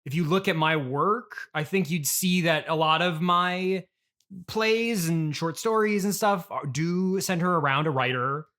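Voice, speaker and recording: A man hosting a casual comedy interview in a halting, stammering, agreeable way. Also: a little high, sharp tone. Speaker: interviewer and host.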